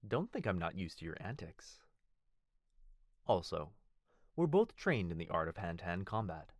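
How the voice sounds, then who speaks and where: A man performs a loyal, courteous butler in an intimate ASMR roleplay. His low voice swings expressively as he speaks with formal deference and fond, gentle teasing. The sound is dry, with no room around it. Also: dry recording. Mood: playfully teasing. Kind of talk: ASMR boyfriend roleplay, scripted acting.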